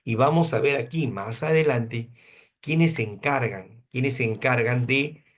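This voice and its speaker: A man's voice: mid-range voice, unhurried. Peruvian man lecturing on law. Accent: Peruvian Spanish. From Peru, coast. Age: 50s. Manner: asks questions and answers them himself, uses hypothetical examples. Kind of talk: online law class.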